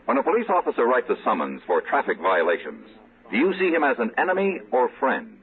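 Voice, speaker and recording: A man's voice: medium pitch, huge pitch swings, brisk. An American man reading a vintage radio public-service announcement in an earnest, formal, admonishing manner. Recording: thin, narrow old radio recording.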